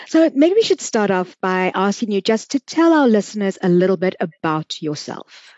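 A woman's voice: medium pitch, very animated, moderate pace, darkish tone, dull audio. A woman with a South African accent, speaking as a podcast host and interviewer. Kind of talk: scripted podcast introduction and interview.

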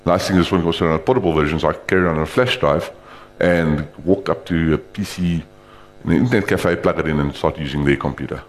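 A man giving an informal, rambling live demonstration of screen reader software, talking loosely with frequent restarts. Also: very deep voice, fast talker.

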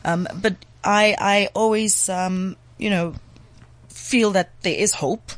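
A woman's voice: mid-range voice, steady tone, slow, no room sound. A South African woman hosting a talk show, nasal from recovering flu, speaking hesitantly with many fillers and restarts.

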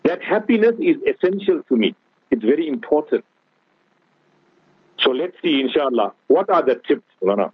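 A man's voice: fairly high pitch, big pitch swings, moderate pace, long pauses, slightly rough, no echo. A man with a South African accent, a guest scholar giving an earnest religious talk on radio and often addressing the host. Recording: tinny phone-like radio broadcast.

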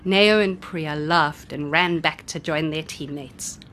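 A woman's voice: deep voice, slow, noisy background. A woman storyteller narrating a children's story expressively, with dramatic emphasis.

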